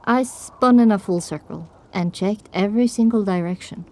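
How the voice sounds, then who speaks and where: A woman's voice: medium pitch, moderate pace, darkish tone. A woman reading scripted first-person story narration for an audio drama.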